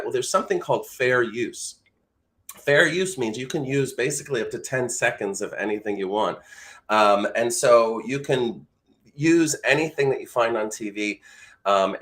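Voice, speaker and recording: A man's voice: medium pitch, fairly bright tone. A man speaking as a coach and host, giving informal, explanatory instruction in a conversational way. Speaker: voice-over coach and live show host.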